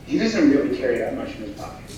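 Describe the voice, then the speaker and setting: An actor playing a dutiful personal assistant, dry and deferential, in a staged comedy reading. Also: male voice, medium pitch, big pitch swings, fast talker, darkish tone, natural voice. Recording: very echoey room, distant mic, faint noise.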